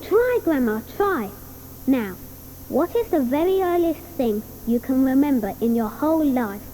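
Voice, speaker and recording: Actress as a kind young boy: eager and earnest, with a lively, bouncing delivery. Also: high voice, unhurried, slight background noise, rough recording. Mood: coaxing. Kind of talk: 1980s studio radio play acting.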